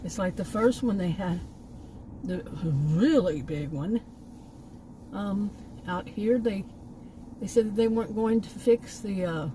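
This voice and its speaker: Exasperated Texan woman with a mellow voice. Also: mid-range voice, slow pace, long pauses, a little hiss or hum, rough recording. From Texas. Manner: exasperated, emphatic, rambling with asides. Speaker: vlogger. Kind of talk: casual storytelling rant. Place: in a car by a park.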